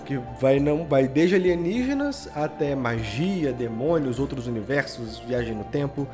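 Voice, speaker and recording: A man's voice: mid-range voice, expressive, moderate pace, over music. A man with a Brazilian accent speaking as a podcast guest, explaining things in an informal, rambling way. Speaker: podcast guest, audio drama creator. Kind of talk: casual interview chat.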